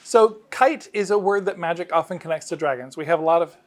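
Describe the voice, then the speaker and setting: A middle-aged man with a somewhat high voice talks as a game designer being interviewed. He explains things in a casual, enthusiastic way. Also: brisk. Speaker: game designer interviewed about his work.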